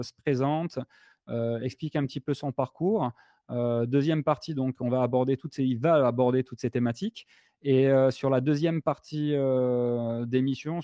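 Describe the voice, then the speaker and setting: A man hosting a live online talk, introducing a guest with many fillers and pauses. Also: mid-range voice, dark tone, no echo, studio quality. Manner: halting, lots of fillers. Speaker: host.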